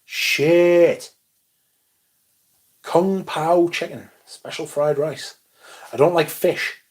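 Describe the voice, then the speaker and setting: A young man hosting a casual livestream, reading chat comments aloud and chatting in a loose, relaxed way with casual swearing. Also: medium pitch. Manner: laid-back, swears casually, mumbles asides, reads chat aloud. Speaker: livestream host.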